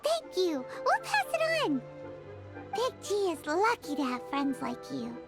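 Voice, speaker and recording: A woman voicing a tiny, excitable, childlike fairy companion in an anime-style video game, with a squeaky, bubbly delivery. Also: measured pace, full character voice. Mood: cheerful, eager. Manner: bubbly, exclaiming, speaks of itself in third person. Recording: loud background music.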